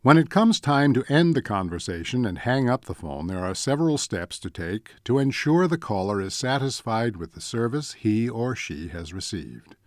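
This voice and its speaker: A man reading scripted instructional narration in a measured, deliberate way, like a training presenter. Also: mid-range voice, big pitch swings, no echo, clean studio sound. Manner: measured, deliberate, enumerating points.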